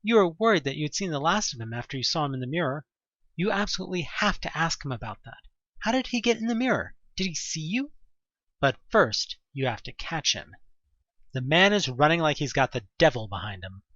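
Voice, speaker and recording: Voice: medium pitch, sing-song, a little fast, fairly bright tone, no echo, lo-fi sound. A narrator reading a scripted second-person story for an audio drama.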